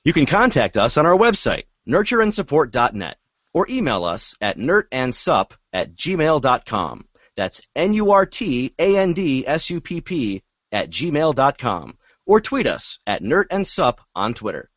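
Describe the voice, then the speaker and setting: A man's voice: medium pitch, sing-song, measured pace, fairly bright tone, no room sound. A man reading out a scripted podcast contact announcement. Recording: dull, lo-fi close dry audio.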